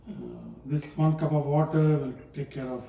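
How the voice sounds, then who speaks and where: An older man from South India calmly demonstrating how he makes coffee, soft-spoken and hesitant, with frequent fillers. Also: on the high side, moderate pace. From Palakkad, South India. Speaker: guest, home cook. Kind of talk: casual demonstration chat. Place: home kitchen. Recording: echoey room from a distance, faint noise.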